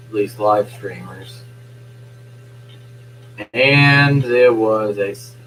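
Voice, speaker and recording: A young man gives casual play-by-play with a hoarse, fading voice, keeping it low and hushed. His voice sits on the low side. A faint hum runs under the home recording. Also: poor audio. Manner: quiet, subdued talk. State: losing his voice. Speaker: live streamer doing play-by-play. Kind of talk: casual live-stream sports commentary. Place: at home at night.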